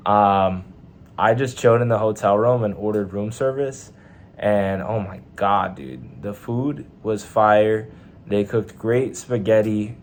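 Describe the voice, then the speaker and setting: A man's voice: lowish voice, darkish tone, slight background noise. A young man with a Texan accent, chatting casually to his camera from a hotel room, laid-back and rambling with slang and fillers. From Texas, USA. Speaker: vlogger, Navy sailor. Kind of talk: casual vlog monologue.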